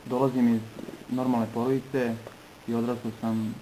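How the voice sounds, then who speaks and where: A man from Serbia giving an earnest personal testimony in short phrases, pausing between them for an interpreter. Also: medium pitch, flat delivery, dark tone, a little hiss or hum, low-quality recording.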